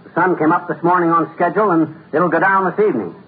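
An actor playing a folksy, wisecracking middle-aged American husband in a 1950s radio comedy, grumbling and sardonic. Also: male voice, on the high side, fast talker, lightly acted. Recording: tinny, noisy old radio broadcast.

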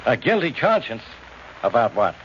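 An actor playing a blustering, easily rattled police inspector in the style of 1940s radio detective drama. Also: male voice, medium pitch, big pitch swings, normal speed, a bit bright. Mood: skeptical, blustering. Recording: noisy, muffled old radio recording.

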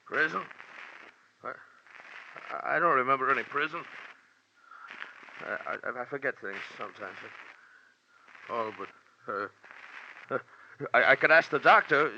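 An actor playing a menacing, confused madman in a 1940s radio crime drama, halting and muttering, then breaking into outbursts. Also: medium pitch, animated. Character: ugly, wild-eyed, unhinged escaped mental patient, menacing and confused. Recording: muffled, lightly noisy 1940s radio recording.

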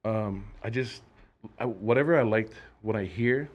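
A man from Samoa, a band member guesting on a podcast, speaking in a fairly low voice. He answers thoughtfully and soft-spoken, pausing and restarting as he gathers his thoughts. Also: dull audio. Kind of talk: casual interview answer.

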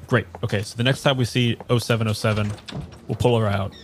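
A man playing a mild, apologetic agency staffer in an improvised audio drama, hesitant and awkwardly deadpan. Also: fairly low pitch, fast, slight background noise, close to an everyday voice. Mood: weary, apologetic.

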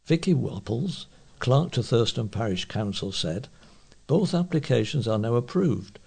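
A man's voice: mid-range voice, lively intonation, a little slow, vocal fry, darkish tone, husky. A man reading local newspaper reports aloud as a volunteer newsreader.

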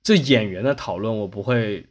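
A man's voice: lowish voice, normal speed. A man talking casually as a podcast host, explaining at length and often rephrasing as he goes. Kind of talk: casual discussion.

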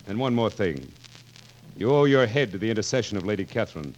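A man's voice: fairly low pitch, sing-song, quick pace, darkish tone, dull audio. An actor playing a peevish, crafty, weary king of France in 1940s radio style, dry and querulous. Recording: noisy vintage 1940s radio recording.

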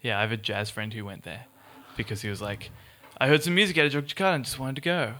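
A man's voice: medium pitch, fairly even intonation, fast talker, sharp tone. A man hosting a casual onstage chat, joking and laughing often. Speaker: host.

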